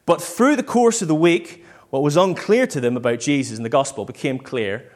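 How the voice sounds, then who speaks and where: A man's voice: on the high side, moderate pace. A man with a Northern Irish accent giving an informal, conversational sermon full of personal stories and bits of acted-out dialogue. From Northern Ireland. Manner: conversational, self-quoting, acts out short bits of dialogue. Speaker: preacher.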